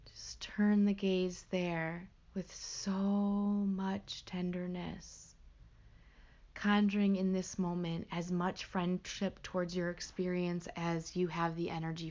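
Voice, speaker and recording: Woman meditation guide, very unhurried, gentle hushed delivery, frequent pauses, slightly muffled lo-fi recording. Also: on the low side. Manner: gentle, hushed, pausing between short phrases. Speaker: meditation teacher.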